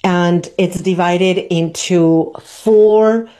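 A woman, hosting a podcast and teaching in an unhurried way, tagging her points with "right?". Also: on the low side, steady tone, warm tone. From Argentina. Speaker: podcast host. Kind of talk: reflective teaching talk.

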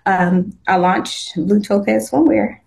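A woman's voice: medium pitch, slow pace, gravelly. Upbeat chatty woman, 50s, lots of restarts, talking about her design work. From Southern California. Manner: upbeat, chatty, laughs often, many restarts. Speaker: guest, swimwear designer. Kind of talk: casual interview chat.

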